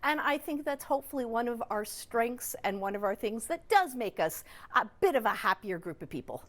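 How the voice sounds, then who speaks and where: High-pitched Canadian woman explaining things conversationally. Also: lively intonation, brisk, fairly bright tone. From Newfoundland and Labrador, Canada. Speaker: psychologist, regular TV guest expert.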